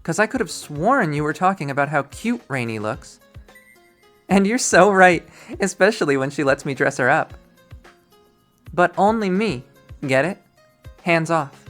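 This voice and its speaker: A bubbly, bossy teenage girl from a visual novel, as a narrator acts her out, with a lifted, high voice. She gushes and teases playfully and possessively, with music behind her. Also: lively intonation, a little slow, pauses often, a bit bright. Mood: possessive teasing.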